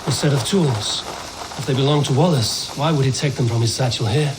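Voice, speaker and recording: An actor playing a steadfast, wary and devout Viking warrior in a video game, measured and earnest. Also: male voice, medium pitch, background noise, natural voice. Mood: watchful.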